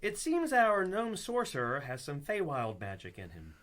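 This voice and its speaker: Lively, slightly high-pitched male narrator. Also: moderate pace. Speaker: narrator of a comedy radio play. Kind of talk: scripted storytelling narration.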